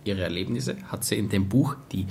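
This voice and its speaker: An actor playing a police detective who reports facts and opinions in a radio crime drama. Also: male voice, on the low side, brisk, a little mellow, slight background noise, natural voice. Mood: factual reporting. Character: matter-of-fact male police detective briefing his boss.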